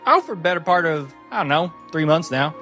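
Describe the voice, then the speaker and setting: A man's voice: on the high side, expressive, a little fast, sharp tone, faint music behind, voice pushed up. A man voicing a tiny, polite, boyishly earnest adventurer in a tabletop game, with a courtly and self-important manner. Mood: earnest, chatty.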